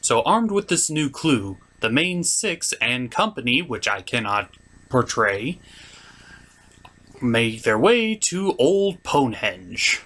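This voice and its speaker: A man's voice: mid-range voice, sing-song, slow pace, fairly bright tone. A man giving a scripted comic episode recap in a theatrical manner, as a reviewer and puppeteer. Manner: theatrical, slipping into character voices.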